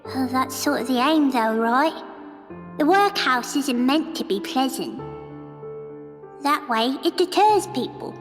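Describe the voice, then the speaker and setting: High-voiced, hesitant workhouse boy. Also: expressive, takes it slowly. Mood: matter-of-fact. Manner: hesitant, stammering. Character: timid young workhouse boy, a disguised woman. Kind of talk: radio drama acting. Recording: echoey room, music behind.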